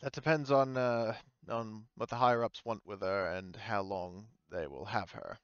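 A man playing a composed corporate woman executive in a tabletop game, formal and measured, outwardly pleasant but privately irritated. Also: on the low side, fairly bright tone, smooth, no room sound, highs cut off.

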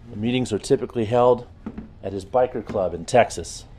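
An actor playing a brisk, authoritative intelligence chief briefing his team in a video game cutscene, businesslike and commanding. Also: lowish voice, warm tone, a little hiss or hum, natural voice.

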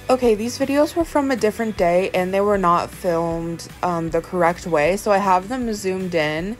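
Chatty young woman vlogging from the barn. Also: lowish voice. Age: young adult. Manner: chatty, self-deprecating, upbeat. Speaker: equestrian vlogger. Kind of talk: casual vlog chat and voiceover. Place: indoor riding arena and barn, some outdoors.

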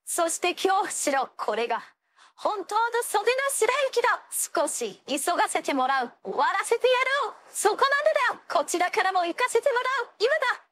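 A woman's voice: extremely high pitch, sing-song, normal speed, a bit bright, full character voice. An actress playing a proud, stern young female sword fighter with ice powers in an anime fighting game, speaking in an archaic, commanding manner. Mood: confident, commanding. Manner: archaic, haughty, commanding phrasing.

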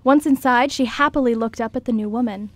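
A woman's voice: a little high, a little fast, dry recording. A woman reading scripted story narration as the narrator of a radio skit.

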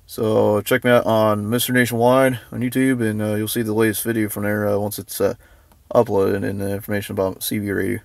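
A man's voice: lowish voice, flat delivery, brisk, creaky, no room sound. A man giving a casual, off-the-cuff show-and-tell, hesitant and thinking aloud, recorded on a handheld camera in and around a parked car. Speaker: vlogger. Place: in a parked car and just outside it.

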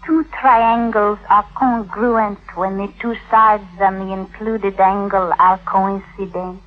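An actress playing an amorous young wife and pupil in 1950s radio drama, precise and coaxing. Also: female voice, mid-range voice, steady tone, slow, natural voice. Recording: muffled vintage 1950s radio recording.

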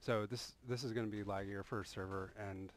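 A man's voice: lowish voice, a little fast. A man casually talking through a game build in a voice-over, rambling a little with restarts and fillers. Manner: relaxed, rambling explanation with frequent restarts and "uh". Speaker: game tutorial creator.

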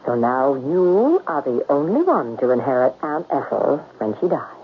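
A woman's voice: rumbling low, sing-song, moderate pace, dull audio, full character voice. An actress playing an admiring, sultry female criminal accomplice in a 1970s radio drama. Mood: admiring, conspiratorial. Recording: thin, noisy 1970s radio recording.

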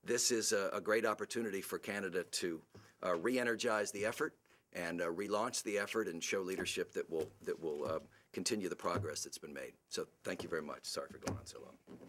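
A man, an advocacy group's CEO, giving a formal policy speech at a report launch, earnest and halting with many restarts. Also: deep voice, fairly bright tone.

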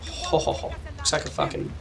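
A young man from New Zealand with a New Zealand accent, commentating a game casually while he has a cold, excitable and sweary with lots of fillers. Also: a little fast, a crowd in the background. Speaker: let's play gamer. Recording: distant mic with noisy background.

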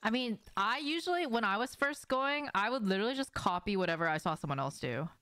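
A woman's voice: fairly high pitch, lively intonation, fast, fairly bright tone, clear, resonant tone, no room sound. A young woman chatting casually as she streams a game, informal and prone to swearing, speaking to her viewers in a small room. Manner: informal, swearing, addressing viewers and reacting to gameplay. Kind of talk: casual livestream chat while gaming. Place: small indoor room at home.

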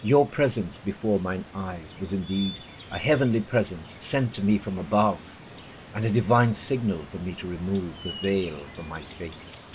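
A man's voice: deep voice, normal speed, background noise, lightly acted. A man playing a lovestruck young widowed noblewoman in a theatrical, declamatory Shakespearean soliloquy, yearning and poetic. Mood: tender, grateful. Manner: declamatory, archaic, romantic delivery.

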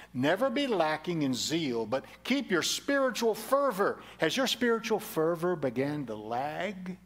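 An older male pastor delivers a sermon on a headset mic from a church stage. His voice sits a little high for a man, and he preaches earnestly, repeating key phrases to drive them home. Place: large church sanctuary stage at a lectern.

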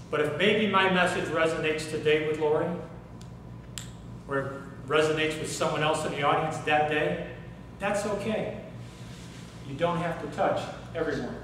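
A middle-aged man giving a workshop talk on public speaking, animated and asking the audience questions in a hotel conference room. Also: a little high, slight background noise. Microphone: far camera, no mic seen. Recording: echoey room recorded from far off.

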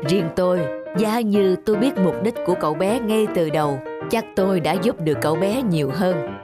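A woman's voice: fairly low pitch, fairly quick, lightly acted. A woman voicing a kindly, sincere middle-aged male toy shop owner in a cartoon. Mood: reflective. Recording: loud music behind the voice.

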